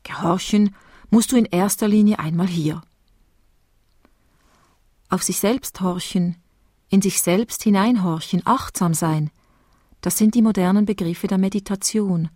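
A woman from Basel with a Swiss German accent, calmly reading a meditative sermon. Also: mid-range voice, no echo, studio quality. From Switzerland, Basel. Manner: calm, measured, reflective reading. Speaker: preacher giving a spoken devotion.

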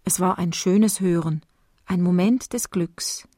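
A woman from Basel with a Swiss German accent, calmly reading a meditative sermon. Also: on the low side, faint music behind. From Switzerland, Basel. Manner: calm, measured, reflective reading. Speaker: preacher giving a spoken devotion.

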